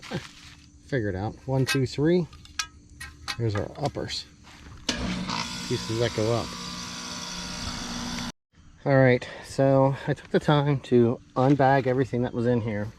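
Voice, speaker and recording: Guy doing a casual unboxing vid, medium pitch, kinda halting n thinking out loud, noisy handheld camera audio. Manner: halting, thinking aloud while handling parts. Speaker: hobbyist vlogger doing a product unboxing. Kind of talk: casual hands-on unboxing commentary, off the cuff. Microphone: handheld camera held while working, varying distance.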